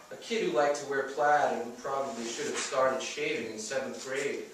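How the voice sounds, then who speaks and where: A man's voice: mid-range voice, a bit bright. A man reading first-person fiction aloud as its author at a live bookstore event. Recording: echoey room, distant mic, faint noise.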